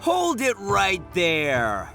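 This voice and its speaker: A man's voice: high-pitched, wildly swooping pitch, drawn-out words, creaky, music in the background, exaggerated voice. A man voicing a boisterous, swaggering, friendly buddy in a video game. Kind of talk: video game character voice acting.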